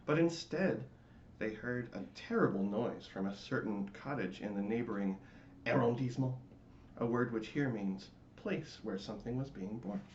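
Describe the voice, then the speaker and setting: A man giving a theatrical, expressive dramatic reading of a storybook. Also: mid-range voice, moderate pace. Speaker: storybook reader. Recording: roomy, dull, faintly noisy home recording.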